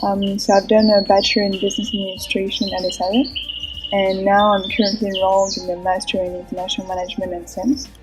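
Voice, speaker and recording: A woman's voice: medium pitch, flat delivery, quick pace, over music. A woman in her early twenties from France introducing herself as a student. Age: 20s. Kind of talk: self-introduction.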